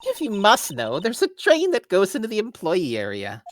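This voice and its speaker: Cranky elderly female game witness, played by a woman: scornful, gossipy, extremely animated delivery. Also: mid-range voice, moderate pace, soft background music. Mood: huffy. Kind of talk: amateur video game voice-over read.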